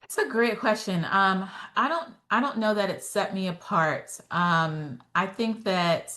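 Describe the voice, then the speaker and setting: A woman real estate agent and trainer answering podcast interview questions in a steady, self-assured way, explaining step by step with fillers. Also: medium pitch, measured pace. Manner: firm and self-assured about her own voice.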